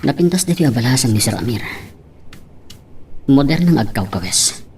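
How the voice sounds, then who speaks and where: Casting: actor as modest male employee in Ilocano love drama, very animated pitch movement. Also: normal speed, slight background noise, close to an everyday voice. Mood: shy. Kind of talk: Ilocano audio drama acting.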